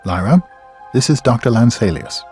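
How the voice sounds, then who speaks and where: An actor playing a calm, fatherly older expedition leader in a video game, measured and courteous. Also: mid-range voice, soft background music, close to an everyday voice. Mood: earnest. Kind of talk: video game cutscene acting.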